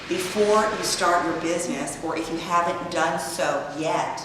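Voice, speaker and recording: A hoarse woman presenting a business workshop in an open, inviting way, sometimes trailing off and restarting. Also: on the low side, a little slow. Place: event hall in an arts building. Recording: echoey hall, mic far off, background noise.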